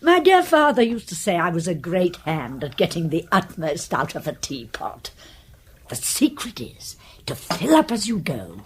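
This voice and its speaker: An actress playing a prim, precise and devoted English spinster who assists a detective, speaking formally in British radio drama style. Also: female voice, fairly low pitch, wildly swooping pitch, a little slow, slight background noise. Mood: fussy, pleased.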